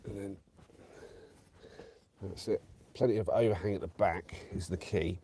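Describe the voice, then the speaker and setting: A man's voice: sits low, fairly even intonation, measured pace, a little hiss or hum. A man, rambling casually to viewers on a live stream from his camper van, a bit bunged up from a cold. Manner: chatty, rambling, warm to viewers, many fillers and restarts.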